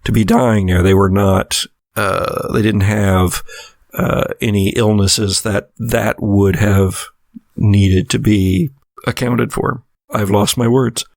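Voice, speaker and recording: A man working as game master, narrating a horror tabletop game in a halting way, searching for words. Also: on the low side, steady tone, gravelly, a little mellow, no room sound.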